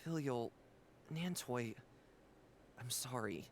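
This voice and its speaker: A man's voice: mid-range voice, lively intonation, takes it slowly, slight background noise. An actor playing a remorseful young man who apologizes and accepts his punishment. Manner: apologetic, resigned. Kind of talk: game cutscene voice acting.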